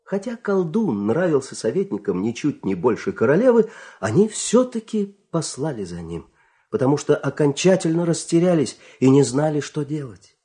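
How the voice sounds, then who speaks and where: A man narrating a fairy-tale audio play in an expressive, scripted storytelling style. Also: fairly high pitch, moderate pace.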